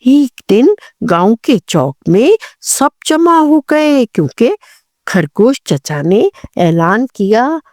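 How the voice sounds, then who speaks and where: Female children's storyteller: higher voice, lively swings in pitch, expressive scripted reading, dry close sound. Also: takes it slowly, dry recording. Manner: expressive, addressing children directly.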